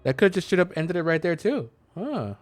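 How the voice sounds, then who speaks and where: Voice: medium pitch, very animated, fairly quick, soft background music. A video game streamer giving casual, rambling play-by-play commentary, muttering to themself and trailing off.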